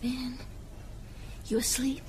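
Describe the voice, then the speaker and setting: A woman's voice: high voice, level tone, takes it slowly, music in the background. An actress in a radio drama playing a sceptical, shaken young woman who speaks hesitantly to the man she loves. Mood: tender. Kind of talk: naturalistic radio drama dialogue.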